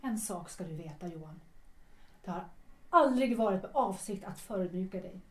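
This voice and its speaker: A woman's voice: medium pitch, lively intonation, close to an everyday voice. An actress playing an earnest, reproachful ex-wife in her thirties in a period radio drama, with formal, theatrical phrasing. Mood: pleading. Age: 30s. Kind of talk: period stage-play radio drama acting. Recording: roomy, echoing interior sound.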